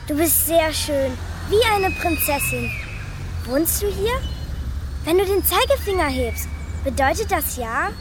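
A woman's voice: high voice, expressive, a little slow. An actress voicing a curious, frank little girl in a radio play, asking blunt, innocent questions. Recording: outdoor scene with street noise, mic from a distance.

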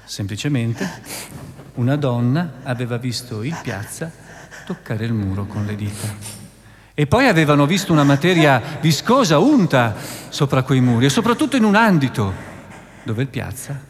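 An actor playing a measured, sombre narrator who recounts a historical trial in solemn, scripted radio theatre style. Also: male voice, medium pitch, sing-song, slight background noise, natural voice. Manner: deliberate, solemn, declamatory. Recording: reverberant hall with guitar music.

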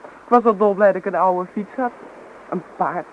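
A woman's voice: mid-range voice, normal speed, natural voice. A woman playing a playful, down-to-earth young woman in a Dutch radio play, with a wry and informal manner. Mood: wry, teasing. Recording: noisy tinny old radio recording.

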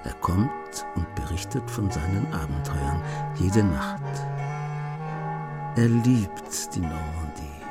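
A reflective writer who narrates a radio drama. His voice is fairly low and very slow, measured throughout. Music plays behind him. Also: lively intonation, heavily acted. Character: reflective male writer telling a thief's adventures. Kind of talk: scripted narration.

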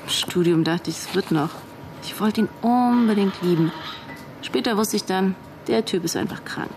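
An actress playing a curt, sharp-tongued young businesswoman in a naturalistic radio drama. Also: mid-range voice, moderate pace, noisy background, lightly acted. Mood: bitter.

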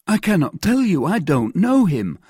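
An anxious, timid husband in an audiobook, played by a man. His voice is raised high and very animated. He pleads and protests with whining earnestness, eager to placate. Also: normal speed, breathy edge, dry recording, studio quality, exaggerated voice. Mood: protesting. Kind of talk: dramatized audiobook reading.

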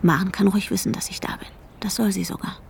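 A woman's voice: mid-range voice, fairly even intonation, fast talker, vocal fry, close to an everyday voice. An actress in a radio drama playing a traumatised, obsessive woman who narrates her ordeal intensely and breathily, often dropping to a whisper. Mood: grimly defiant. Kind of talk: radio drama acting with inner monologue.

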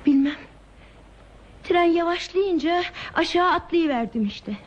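A woman's voice: extremely high pitch, a little slow. An actress playing an aimless, enigmatic woman traveller, wistful, evasive and detached, in a theatrical radio play style. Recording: dull, lo-fi radio play tape, hissy.

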